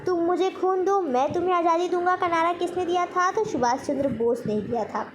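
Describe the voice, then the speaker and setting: A woman's voice: very high voice, fairly quick, a little hiss or hum. A woman with an Indian accent teaching a quiz-style lesson, posing questions and answering them in an instructional way. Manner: instructional, asks each question then answers it, repeats key points. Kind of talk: general knowledge quiz lesson for exam students.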